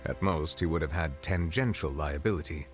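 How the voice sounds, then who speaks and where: An ancient dragon sovereign in human form speaks in a low, grave voice. He explains things in formal, measured sentences with solemn calm. Clear music runs under his lines. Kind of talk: game character dialogue. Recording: game audio with music behind.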